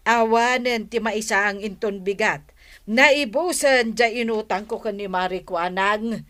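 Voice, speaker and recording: A woman plays an exasperated, nagging wife in an Ilocano radio drama. Her voice sits at a middle pitch and is recorded dry and close. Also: unhurried, a bit bright, dry recording, lightly acted. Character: nagging, exasperated village wife worried about money.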